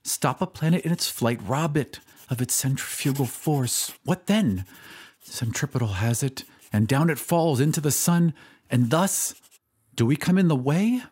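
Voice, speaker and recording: A man's voice: on the high side, animated, darkish tone, slightly rough, voice pushed up. A man voicing an earnest, musing schoolboy who puzzles things out aloud in an audio drama. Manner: musing, deliberate.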